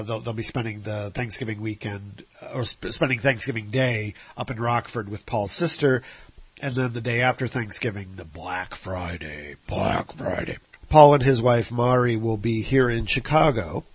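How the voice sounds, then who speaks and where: A man's voice: medium pitch, breathy edge, no echo. A man with a Midwestern American accent hosting a solo podcast, sounding nasal and stuffed up from his sinuses, rambling with fillers and restarts in an apologetic way. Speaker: podcast host. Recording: dry, dull lo-fi recording.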